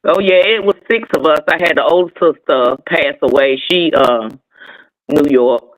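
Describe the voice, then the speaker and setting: A woman's voice: low voice, normal speed, rough recording. Easygoing woman. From North Carolina. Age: 50s. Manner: easygoing, asks simple questions while checking the chat. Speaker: guest co-host. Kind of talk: casual live-stream chat.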